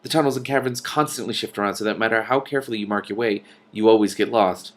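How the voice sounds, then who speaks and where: A man's voice: lowish voice, fast talker, natural voice. A man voicing a matter-of-fact female dragon from a storybook, explaining things with dry, scornful authority.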